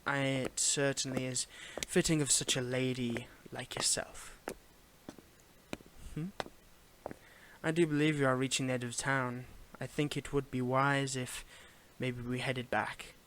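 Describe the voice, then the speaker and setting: Clear background noise sits under a man acting out a gentlemanly Victorian footman in an intimate audio roleplay. His voice is mid-pitched and gentle, sometimes sinking toward a whisper. He speaks with halting, formal politeness, weary yet flirtatious. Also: level tone, close to an everyday voice, poor audio. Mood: charmed, flirtatious. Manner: formal old-fashioned phrasing, gentle, halting, lapsing into whispers.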